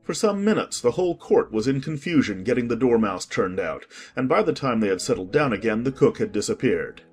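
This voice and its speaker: A man narrating a storybook in a lively, expressive manner. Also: medium pitch, a little fast, hardly any pauses, faint music behind.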